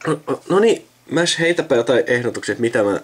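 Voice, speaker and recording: A young man with an average-pitched voice talks casually. He rambles as he thinks aloud, often leaving sentences unfinished. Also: lively intonation. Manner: rambling, trailing off mid-sentence. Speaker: video host recording himself with a friend. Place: indoors at a computer.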